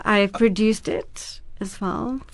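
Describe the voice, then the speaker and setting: A woman's voice: mid-range voice, takes it slowly, no room sound. A woman whose first language is Afrikaans, speaking earnestly and thoughtfully as an actress and producer in a radio interview. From South Africa. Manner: earnest, thoughtful, some restarts.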